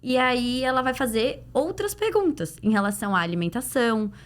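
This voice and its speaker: A woman's voice: on the high side, expressive, normal speed. A woman with a Brazilian Portuguese accent answering in a podcast interview as a clinician guest, explaining things conversationally with illustrative examples. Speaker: guest, pediatric dental clinician.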